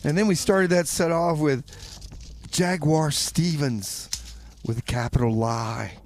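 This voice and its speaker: A man's voice: on the high side, slow, a little hiss or hum. A man hosting a music radio show, talking casually and with bemused, easygoing comic banter.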